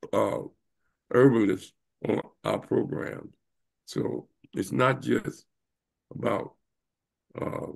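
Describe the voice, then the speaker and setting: A gravelly, husky-voiced man in his seventies hosts a talk show. He talks emphatically and drifts between points, with lots of fillers. He sounds like he is on a video call. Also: mid-range voice. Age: 70s. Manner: emphatic, wandering, many fillers. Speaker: show host and professor. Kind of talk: casual interview talk.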